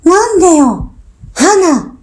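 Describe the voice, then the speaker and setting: A woman's voice: extremely high pitch, big pitch swings, extremely slow, darkish tone, heavily acted. A woman playing an earnest, desperate young woman in a radio drama, with a western Japanese Chugoku dialect. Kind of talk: amateur radio drama acting. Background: music.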